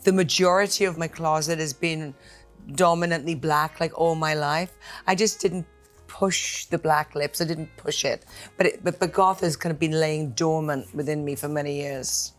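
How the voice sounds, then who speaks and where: A middle-aged woman with a Welsh accent chatting animatedly in a quick question game, gasping and playfully emphatic. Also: low-pitched, fairly even intonation, faint music behind. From Wales. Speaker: actress, interview guest. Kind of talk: casual rapid-fire chat.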